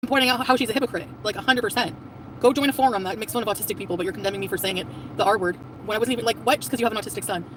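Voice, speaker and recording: Brief: woman, 40s, ranting streamer, somewhat high voice, off-mic, with heavy traffic noise around. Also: rapid-fire, recorded from a distance. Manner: complaining, ranting. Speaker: livestreamer. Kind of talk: live-stream rant.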